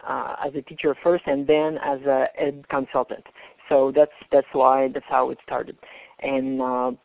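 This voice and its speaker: Man, webinar presenter, hesitant, gravelly voice, tinny phone sound. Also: on the high side, dry recording. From Canada. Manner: halting, hesitant delivery. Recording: dry tinny phone line.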